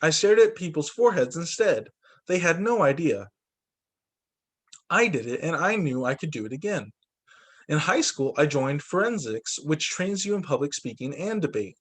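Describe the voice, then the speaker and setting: A man reading a children's storybook aloud as a teacher, with expressive narration and acted-out dialogue. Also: on the high side, normal speed, husky. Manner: animated, acts out dialogue for children.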